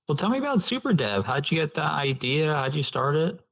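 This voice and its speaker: A man's voice: fairly high pitch, very animated, a little fast, creaky, fairly bright tone. A man hosting a casual interview, speaking informally in short prompts and questions. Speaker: interview host.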